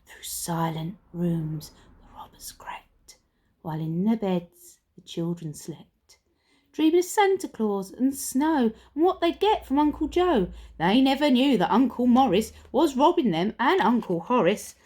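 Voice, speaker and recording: A woman's voice: a little high, big pitch swings, unhurried, a little mellow, husky. A woman reading a rhyming picture book aloud to children in a theatrical, playful way. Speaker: primary school storyteller.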